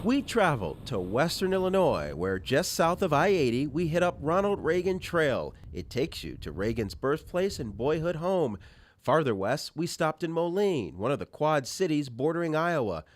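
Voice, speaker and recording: A man's voice: fairly high pitch, sing-song, moderate pace, a little hiss or hum. A man working as a political television reporter, delivering scripted news narration.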